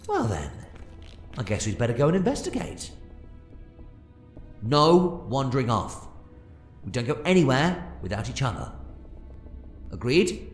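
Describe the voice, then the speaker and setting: Eccentric, whimsical adventurer hero, male actor, hugely varied intonation, reassuring yet excitable, audio drama, faint music. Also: mid-range voice, a little slow, long pauses, roomy sound. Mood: grave, resolute.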